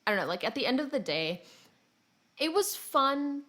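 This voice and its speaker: A woman's voice: high voice, sing-song, moderate pace, sharp tone. Casual giggly woman podcaster. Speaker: podcast host. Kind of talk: casual gaming podcast chat.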